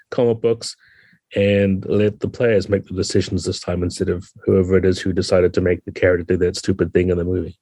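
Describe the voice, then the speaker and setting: A man from New Zealand in his fifties talks casually as an interview guest. His voice is low and mellow, with a husky edge. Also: no echo. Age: 50s. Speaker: interview guest, game designer.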